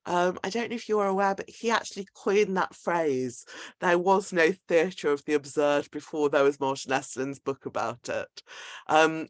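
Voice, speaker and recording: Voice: mid-range voice, no echo. A host giving a semi-scripted introduction of a speaker to an audience.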